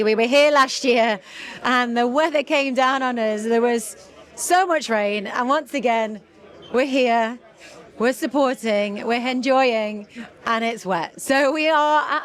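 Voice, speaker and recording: A woman's voice: high voice, fairly bright tone. A woman hosting event coverage, introducing guests in a bubbly, enthusiastic presenter manner. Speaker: host. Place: marquee at an outdoor bike event.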